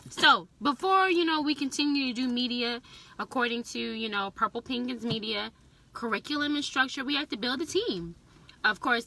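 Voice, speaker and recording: Bright, high-voiced chatty woman. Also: a little hiss or hum, low-quality recording. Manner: chatty, emphatic. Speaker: vlog host, mother. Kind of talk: casual family chat. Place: in a car.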